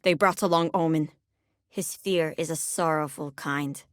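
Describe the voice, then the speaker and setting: A sleepless game agent who stalks people's nightmares. Her voice sits low, and she speaks with brooding, measured menace and a wry edge. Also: fairly even intonation, slight background noise. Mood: ominous, sombre. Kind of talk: video game character voice lines.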